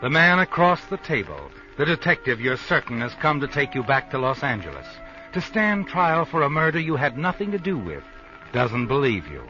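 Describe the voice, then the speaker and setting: An American actor playing a knowing, taunting narrator in a 1950s suspense radio drama. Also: male voice, mid-range voice, sing-song, moderate pace, sharp tone, soft background music. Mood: taunting, ominous. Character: knowing, taunting male narrator, an unseen omniscient observer. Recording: muffled 1950s radio recording.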